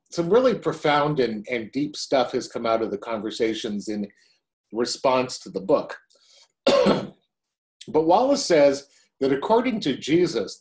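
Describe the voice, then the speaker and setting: Older guy preaching at his desk webcam, voice goes up and down a lot, chatty with dry little jokes. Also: medium pitch. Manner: conversational, wry asides. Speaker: pastor. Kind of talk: sermon.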